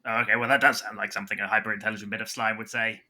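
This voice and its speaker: A man's voice: lowish voice, little pitch movement, rapid-fire, sharp tone. A man playing a breezy, self-satisfied, glib action man in a comic audio drama. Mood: unimpressed, glib.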